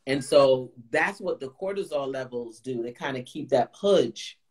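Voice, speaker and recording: A woman with a Guyanese accent hosting a live Q&A chat as a chef, animated and coaching, talking over a video call. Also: unhurried, breathy edge. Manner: animated, coaching, jumps into high playful voices. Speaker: host, plant-based chef.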